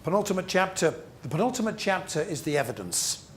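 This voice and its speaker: A man leading a business training seminar, speaking emphatically with rhetorical questions and deliberate repetition. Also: high-pitched, very animated, a little hiss or hum. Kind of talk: interactive training seminar talk to a group.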